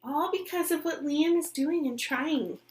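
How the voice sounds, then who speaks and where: Slow, high-voiced woman reading to children. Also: a little hiss or hum. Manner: expressive, simple explanations, pausing to ask questions. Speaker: teacher reading aloud to children. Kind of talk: picture-book read-aloud with questions to young listeners.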